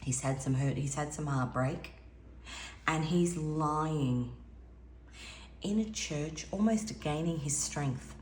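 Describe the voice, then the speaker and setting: A low-pitched woman with a raspy voice talks through a spiritual tarot reading in an earnest, wandering way. She restarts her sentences often. The recording is roomy and echoey, with a faint hiss underneath. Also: slow, long pauses, a little mellow. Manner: meandering, earnest, with frequent restarts. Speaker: intuitive tarot reader. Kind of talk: spiritual card reading monologue. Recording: echoey room with faint background noise.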